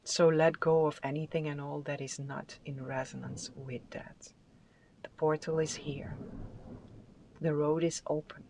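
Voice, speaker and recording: A tarot card reader giving a spiritual reading with affirmations, in a soft, soothing and unhurried voice that sometimes drops to a whisper. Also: medium pitch, little pitch movement, vocal fry, slight background noise, rough recording. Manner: soft, soothing, unhurried delivery with drawn-out phrases.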